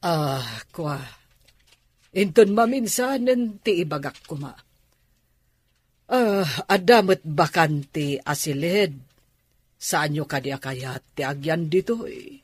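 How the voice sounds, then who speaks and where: Man acting a slow-spoken, insistent aunt. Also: fairly high pitch, lively intonation, husky, no room sound, heavily acted. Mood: coaxing. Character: older aunt, insistent and inviting toward her niece. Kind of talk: radio drama acting.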